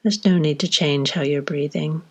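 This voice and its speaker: A woman leading a guided body scan meditation, giving slow, gentle instructions with long pauses between phrases. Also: sits low, steady tone, breathy edge.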